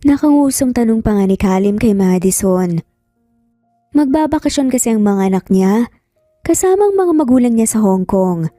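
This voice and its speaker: A woman's voice: a little high, animated, moderate pace, dark tone, dry recording, clean studio sound, soft background music. A woman with a Filipino accent reading a scripted romance story as narrator.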